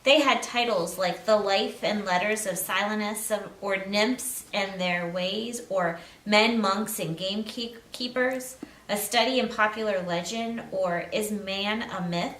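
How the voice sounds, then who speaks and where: A woman's voice: mid-range voice, unhurried, a bit bright. A woman reading a children's story aloud as narrator. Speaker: storybook reader. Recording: roomy echo, distant mic.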